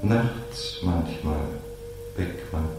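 A man acting in a 1940s radio play as a dead man haunting his murderer, solemn, halting and accusing. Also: rumbling low, lively intonation, exaggerated voice. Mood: eerie calm. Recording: old radio recording, heavy echo, loud music.

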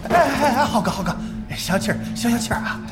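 A man's voice: very high-pitched, expressive, quick pace. An actor playing a fawning, snickering male sidekick in a radio drama, ingratiating and goading. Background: background music.

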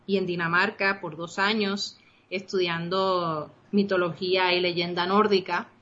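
Guest: bright-toned woman in her thirties, Puerto Rican Spanish with Costa Rican traces, rambling and chatty, slightly dull lo-fi sound. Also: on the low side, little pitch movement, a little slow. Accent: Puerto Rican Spanish with some Costa Rican traces. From Costa Rica. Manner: rambling, many restarts and fillers, mixes in English. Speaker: interview guest, game designer. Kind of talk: casual interview chat.

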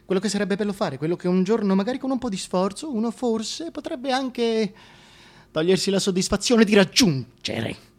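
An actor playing a scheming, bitterly sarcastic tyrant in a theatrical radio monologue, volatile and sardonic in delivery. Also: male voice, high voice, expressive, moderate pace. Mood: brooding, dreamy. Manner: sardonic, volatile, swinging from hushed musing to outbursts.

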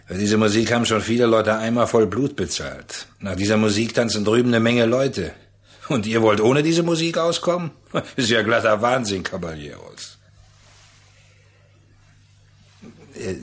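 An actor in a German radio play playing a pushy, jovial, slippery trader who wheedles in a chatty, condescending way. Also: male voice, fairly low pitch, close to an everyday voice. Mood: boastful coaxing.

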